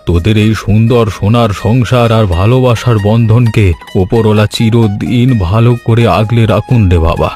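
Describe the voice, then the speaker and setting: A man's voice: lowish voice, steady tone, normal speed, a little mellow, faint music behind, lightly acted. A man voicing a kindly old village uncle in a cartoon story, with a fatherly, moralizing manner. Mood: warm, blessing. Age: older. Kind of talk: Bengali animated story voice acting.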